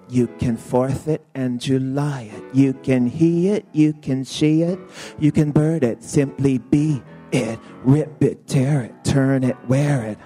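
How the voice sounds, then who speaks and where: High-voiced man singing cabaret. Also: mellow. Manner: sung, sly and suggestive delivery. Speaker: cabaret singer. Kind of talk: live cabaret song. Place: live performance venue.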